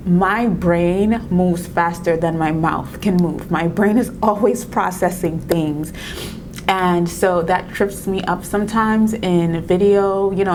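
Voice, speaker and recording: Trinidadian-accented woman vlogger, lowish voice, encouraging and laughing often, steady rumble underneath. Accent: Trinidadian mixed with New York. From Trinidad and Tobago. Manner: warm-hearted, encouraging, often laughing, restarts words. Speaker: video creator giving tips. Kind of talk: casual talk to camera.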